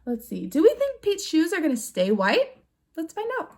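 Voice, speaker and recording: A woman reading a picture book aloud to young children in an animated way, putting questions to her listeners. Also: very high voice, normal speed. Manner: animated, with gasps and exclamations. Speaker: storybook reader for young children.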